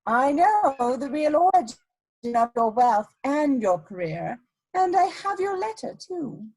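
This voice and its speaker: A woman playing an elegant, ruthless blackmailer in a dramatic reading of a period play, speaking in a deliberate, imperious way. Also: high-pitched, sing-song, darkish tone, full character voice, low-quality recording. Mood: coolly menacing. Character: elegant scheming female blackmailer, coolly ruthless society lady.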